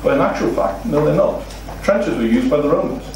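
A man's voice: on the high side, lively intonation, a little fast. A middle-aged man with a Welsh accent delivers a seminar lecture, explaining points steadily and throwing in rhetorical questions. His voice is caught by a distant mic in a very echoey meeting room. A faint hum of room noise sits underneath. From Wales. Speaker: seminar speaker. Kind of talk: illustrated lecture to a seated audience. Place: meeting room with a seated audience. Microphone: far room recording, no mic seen.

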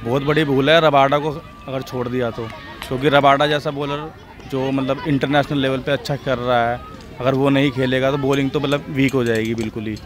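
Young man, mid voice, calm reasoned vox pop, outdoors. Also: mid-range voice, fairly quick. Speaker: interviewee. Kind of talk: casual opinion. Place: outdoor sports ground, seated. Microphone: handheld mic.

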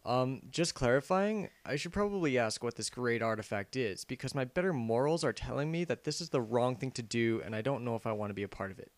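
A man's voice: medium pitch, lively intonation, a little fast, hardly any pauses, lightly acted. A man voicing a cocky, crude, reluctant adventure hero in an amateur parody game dub, with casual comic delivery. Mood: awkward.

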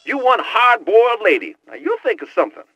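A man's voice: high voice, huge pitch swings, a little fast, sharp tone, breathy edge, no echo, highs cut off, exaggerated voice. An actor playing a boastful young male hacker who talks in teasing street slang over a headset in a video game. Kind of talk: video game cutscene voice acting.

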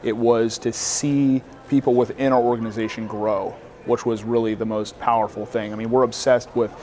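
A man's voice: mid-range voice, level tone, moderate pace, a little mellow. A man in his thirties explaining his business informally in a conversational interview. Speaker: roofing company co-owner being interviewed. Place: large hotel-style lounge, indoors. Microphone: lapel mic.

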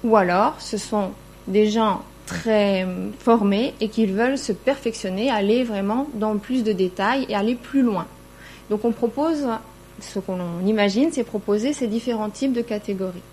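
A woman's voice: medium pitch, normal speed, darkish tone, slight background noise. A middle-aged woman lecturer giving an explanatory conference presentation, seated at a table microphone in an indoor room.

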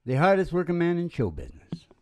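A man's voice: a little high, huge pitch swings, quick pace. A middle-aged man hosting an old-time radio style music show with playful, theatrical, casual stage patter into a microphone. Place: small indoor room, live performance. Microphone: stage microphone on a stand, close up. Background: acoustic guitar playing.

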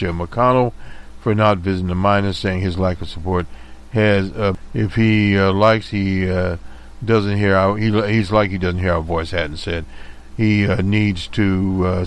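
Very low-voiced man reading news, halting. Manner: halting, many "uh" fillers and self-corrections; editorializing asides. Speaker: radio host reading news. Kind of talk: reading news reports aloud with commentary.

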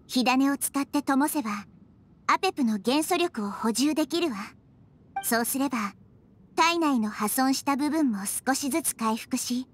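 A woman voicing a tiny, childlike nature goddess in an anime game, soft and girlish, speaking in a measured, earnest, gentle way. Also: high-pitched. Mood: thoughtful. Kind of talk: Japanese anime game voice acting. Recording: studio voice over background music.

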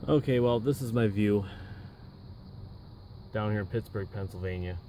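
Tired American trucker, low voice. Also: male voice, a little hiss or hum. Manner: rambling, relaxed, many filler pauses. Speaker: truck driver vlogger. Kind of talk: casual vlog chat. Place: inside a truck cab. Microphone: phone or dash camera at arm's length.

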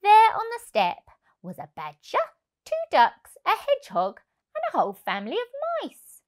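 Female storybook narrator, thirties, higher-pitched, very lively intonation, deliberate delivery for young children. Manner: expressive, deliberate. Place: indoor room, on a sofa. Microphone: lapel mic.

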